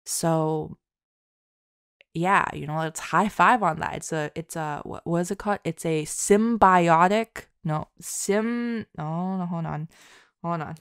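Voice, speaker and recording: A woman talking casually and from the heart to her listeners, halting and self-deprecating, in an informal way. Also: lowish voice, clear, resonant tone, no echo, clean studio sound. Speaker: audio roleplay and ASMR creator.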